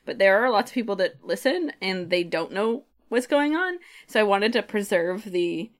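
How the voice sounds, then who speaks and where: A woman's voice: medium pitch, animated, normal speed. Chatty woman co-hosting a podcast. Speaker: podcast co-host. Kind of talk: casual podcast chat.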